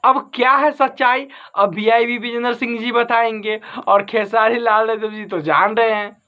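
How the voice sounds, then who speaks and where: A man's voice: very high voice, steady tone, moderate pace, highs cut off. A man giving informal entertainment news commentary as an online host, repeating and rephrasing his points for emphasis. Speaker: YouTube host.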